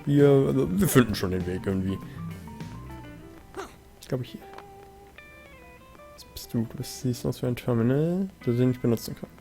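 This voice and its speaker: A man's voice: mid-range voice, animated, normal speed, vocal fry, pauses often, warm tone, soft background music. A man giving casual, laid-back commentary as he plays a video game, muttering dry reactions. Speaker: let's play host. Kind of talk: casual gameplay commentary in German.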